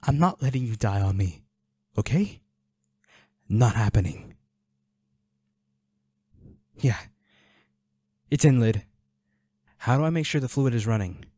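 Man acting as a worried, protective boyfriend, soft-toned. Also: mid-range voice, lively intonation, slow, long pauses, no echo. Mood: pleading, soothing. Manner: pleading, soothing, urgent. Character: protective, worried boyfriend tending his injured werewolf partner. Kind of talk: intimate audio roleplay acting.

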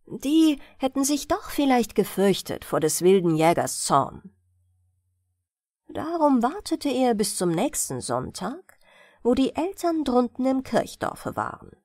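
Female audiobook narrator for bedtime fairy tales: unhurried, animated intonation. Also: a little high, no room sound, clean studio sound.

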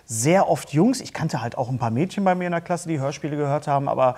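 Middle-aged man, talk-show host, fairly high pitch, gravelly creak, halting casual delivery. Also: a little mellow. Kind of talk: casual interview chat. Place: small indoor talk-show studio.

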